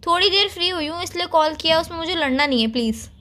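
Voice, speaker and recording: A woman's voice: extremely high pitch, animated, quick pace, bright, forceful tone, exaggerated voice. A young woman playing a busy, impatient girlfriend in an everyday phone-call drama, defensive and insistent. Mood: defensive, annoyed. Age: young adult.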